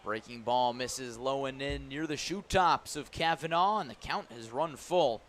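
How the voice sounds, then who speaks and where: An American man doing live radio baseball play-by-play, building excitement as the action unfolds. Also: mid-range voice, unhurried, slight background noise.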